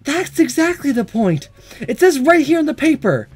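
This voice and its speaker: A man voicing a blunt, serious, stubborn high school girl from a visual novel, arguing insistently. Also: big pitch swings, normal speed, darkish tone. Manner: insistent, argumentative. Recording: music under the voice.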